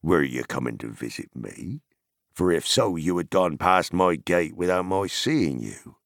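A gruff, hearty hobbit farmer from a fantasy audiobook, acted out by a male narrator. He talks bluntly and rustically with a gravelly edge and big swings in pitch, wary of strangers yet hospitable, recorded close and dry. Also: lively intonation, a little slow, a bit bright, dry recording, exaggerated voice. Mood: probing, hearty. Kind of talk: dramatized fantasy audiobook character.

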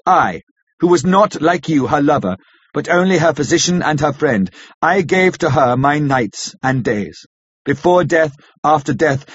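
Man acting a grave old foreign professor, husky and solemn. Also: medium pitch, dry recording, muffled recording. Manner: solemn, halting, formal speech with unidiomatic phrasing. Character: grave old foreign professor, stern yet full of pity. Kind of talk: dramatic audiobook character reading.

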